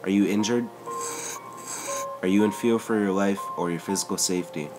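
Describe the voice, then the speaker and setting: A female police dispatcher keeps her questions calm and coaxing, voiced by a man reading a story. The voice stays low and deep, barely shifted from the reader's own. Music runs clearly underneath. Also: normal speed, close to an everyday voice, poor audio. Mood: calm urgent. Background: background music.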